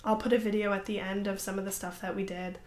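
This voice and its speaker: A woman's voice: mid-range voice, little pitch movement, fast, darkish tone. A young woman hosting a crafting podcast, chatting casually to the camera in a rambling, apologetic way with lots of fillers. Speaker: podcast host. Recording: roomy echoey room.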